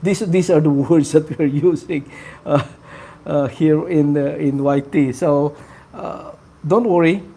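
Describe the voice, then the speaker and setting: A man hosting a casual live stream, talking to his viewers in a halting way with many fillers. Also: a little high, vocal fry, mellow, slightly rough. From Philippines. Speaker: livestream host.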